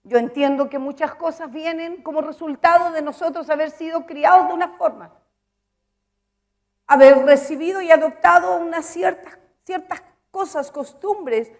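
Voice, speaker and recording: A woman preaches in a high voice and builds emphasis by repeating her lines. She stops to ask her listeners whether they follow her. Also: moderate pace. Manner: emphatic, repeats phrases, asks the congregation questions. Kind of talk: sermon.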